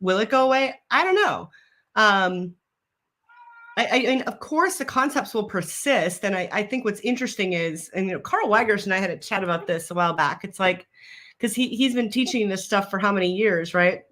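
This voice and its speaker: A woman's voice: mid-range voice, animated, a bit bright. Minnesotan woman explaining on a video call. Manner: confident, explanatory, quick laughs. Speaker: guest expert. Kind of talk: casual video call chat. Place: office room, indoors. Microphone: video call webcam.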